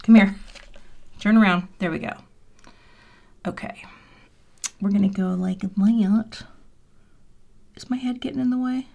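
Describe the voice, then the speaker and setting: A woman in her fifties talks casually while making a card on a livestream. She is chatty and self-deprecating, and she drops into quiet, half-whispered mutters as she works. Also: medium pitch, measured pace, creaky, long pauses, muffled recording. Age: 50s. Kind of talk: casual crafting livestream chat. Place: home craft room.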